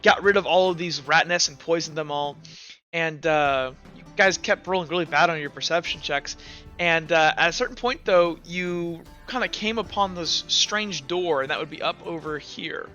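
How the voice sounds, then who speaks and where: A man working as game master, casually recapping a tabletop game in a halting way, stumbling over his words. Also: fairly high pitch, sharp tone, lo-fi sound, soft background music.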